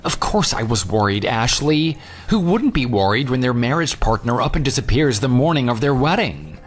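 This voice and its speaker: A jilted, forgiving groom voiced by a man in an audio drama. His mid-range voice moves up and down in a lively way as he asks earnest questions, over background music. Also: mid-range voice, normal speed, slightly rough. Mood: confused, questioning. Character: jilted, forgiving ex-fiance, concerned but questioning. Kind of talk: radio drama dialogue.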